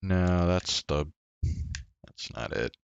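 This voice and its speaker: Slow, low-voiced man streaming games. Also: clear, resonant tone, no echo, lo-fi sound. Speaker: game livestreamer. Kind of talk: casual live gaming commentary. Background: game music behind voice.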